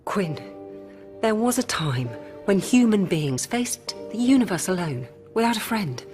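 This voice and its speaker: A woman playing a calm, rational robot hybrid in human guise, speaking in measured, formal, didactic lines in a radio drama. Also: medium pitch. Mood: earnest, persuasive. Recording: music behind.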